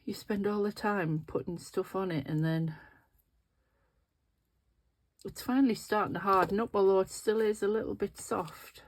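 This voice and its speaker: A woman's voice: medium pitch, steady tone, moderate pace, long pauses, slight background noise. A middle-aged woman with a sniffly, nasal voice, chatting casually and thinking aloud as she works through a craft tutorial. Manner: chatty, thinking aloud while working with her hands. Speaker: resin craft video host.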